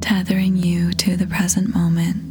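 A woman leading a scripted guided meditation in a soft, breathy, whispery voice, soothing and gentle, with long pauses. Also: fairly low pitch, monotone. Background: music.

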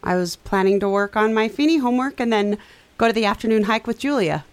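Actress as guarded young woman, lively intonation, wry, good-humoured, naturalistic audio drama. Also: fairly high pitch, fast talker, natural voice. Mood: gently firm.

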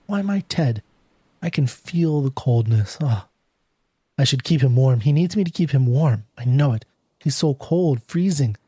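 A man's voice: a little high, warm tone, breathy edge, no room sound, clean studio sound. A man voicing a frantic, grieving young wife in a storybook reading, pleading and insistent.

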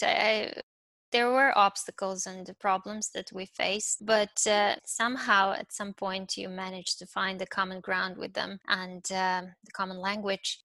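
A middle-aged woman with a Serbian accent answers as an interview guest. Her voice has a lot of fry and moves very little in pitch. She pauses to search for words, and the sound is close and dry. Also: pushed and bright, no echo. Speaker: interview guest, university professor.

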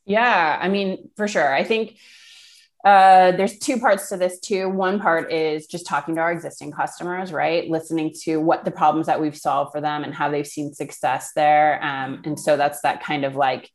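A woman with a low-pitched voice speaks as an interview guest. She explains her points in an informal, conversational way, often dropping in "you know" and "kind of" as she goes. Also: steady tone, normal speed. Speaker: guest, product marketing manager. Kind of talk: conversational podcast interview answers.

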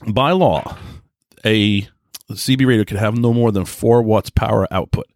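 A middle-aged man with an American accent talking casually and informally in a rambling monologue, often halting and rephrasing himself. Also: fairly low pitch, darkish tone, no echo, studio quality. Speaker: podcast host, radio hobbyist.

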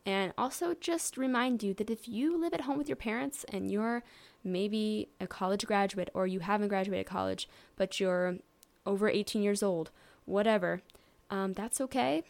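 A woman's voice: mid-range voice, creaky. A woman in her twenties talking casually as a solo podcast host, chatty and rambling, with many likes and you knows. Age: 20s.

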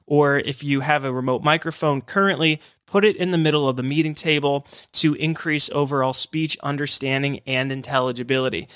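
A man's voice: a little high, a bit bright, no echo, studio quality. A man who works in hearing care, explaining signs of hearing loss in an earnest, encouraging podcast talk.

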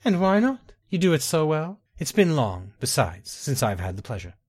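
A man's voice: on the high side, very animated, normal speed, a little mellow, husky, voice pushed up. A man voicing a proud, wounded widowed queen in a fantasy story, with a formal, guarded and emotional delivery. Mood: coy.

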